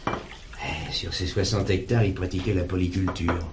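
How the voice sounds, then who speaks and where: An actor playing a plainspoken farmer in a drama, talking in a colloquial, rambling way. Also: male voice, sits low, fairly even intonation, moderate pace, background noise, close to an everyday voice. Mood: plainspoken, hospitable. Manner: colloquial, rambling, punctuated with verbal tags. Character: weary farmer talking about his estranged brother.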